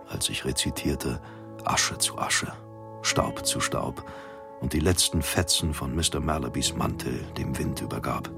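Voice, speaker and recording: An actor playing a solemn, formal polar expedition captain in a radio play, grave and gravelly, with stately old-fashioned diction. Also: male voice, very deep voice, heavily acted. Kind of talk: dramatic radio play monologue.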